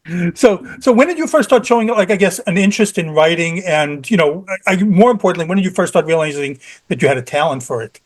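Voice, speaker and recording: An eager man from New York hosts the interview and laughs along often. His voice is high for a man and his questions come out fast. The sound is dry and close. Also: animated, dry recording. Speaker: interviewer host. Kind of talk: casual interview.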